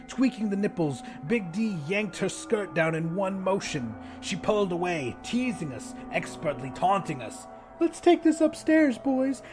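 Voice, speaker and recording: A man narrating first-person fiction as a dramatic audiobook reader. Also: fairly high pitch.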